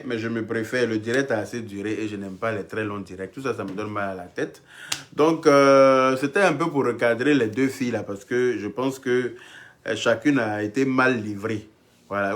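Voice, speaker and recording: A man hosting a livestream and taking calls, talking bluntly and crudely in a dismissive manner. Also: on the low side. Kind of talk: casual live-stream talk.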